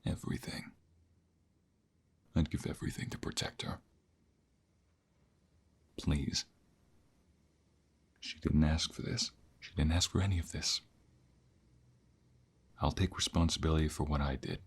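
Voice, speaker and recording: A very husky, breathy mobster lover, acted by a man, desperate and protective of his beloved. His low voice crackles with gravel and often sinks into whispers. The delivery is intense and emotional, in the style of an ASMR romance audio drama. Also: fairly even intonation, measured pace, pauses often, exaggerated voice. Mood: pleading.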